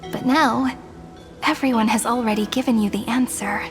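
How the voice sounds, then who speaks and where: Woman voicing a gentle young game heroine, soft, earnest, reflective, fairly high voice, music underneath. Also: steady tone, normal speed, lightly acted. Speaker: game character. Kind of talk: game cutscene voice acting. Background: soft game score.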